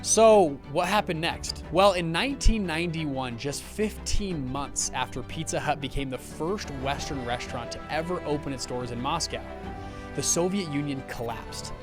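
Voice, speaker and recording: A young man tells a scripted business story, his voice sitting a little high. He slips in upbeat, joking asides as he goes. Soft background music plays beneath him. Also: animated, normal speed. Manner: upbeat, jokey asides to camera. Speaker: host.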